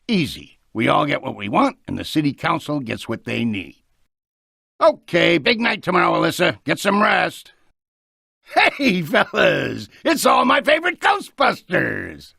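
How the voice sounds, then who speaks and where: An actor playing a brash, glib, self-serving city mayor in a video game, with a raspy voice and a theatrical manner. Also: male voice, on the high side, wildly swooping pitch, unhurried, sharp tone, dry recording, studio quality, exaggerated voice. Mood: angry, exasperated. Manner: theatrical, swinging from sly schmoozing to bellowing.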